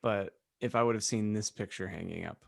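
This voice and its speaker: A man co-hosting a podcast in casual joking chat, with a deadpan way of talking. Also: fairly low pitch, fairly quick, vocal fry. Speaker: podcast co-host.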